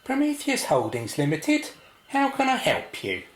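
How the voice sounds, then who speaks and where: Woman acting chatty British receptionist, very animated. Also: fairly high pitch, a little slow, echoey room. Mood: polite, chatty. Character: chatty, flustered young female office receptionist. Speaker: actor. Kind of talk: radio play acting.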